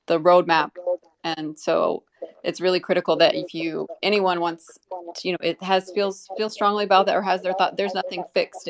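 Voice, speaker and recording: A woman giving unscripted explanations in an online discussion, choosing her words in a careful, halting way. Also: lowish voice. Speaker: community representative being interviewed. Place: live online audio room.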